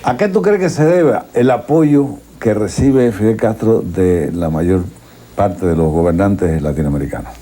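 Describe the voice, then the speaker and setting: Low, mellow Cuban man interviewing. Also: measured pace. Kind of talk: conversational documentary interviewing.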